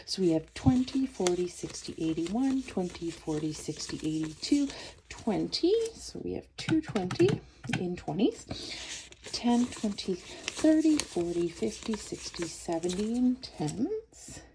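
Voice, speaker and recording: A woman's voice: on the low side, big pitch swings, measured pace, background noise. A woman with a stuffed-up nose chatting casually as a budgeting vlogger, counting cash aloud as she goes. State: stuffed up from a cold.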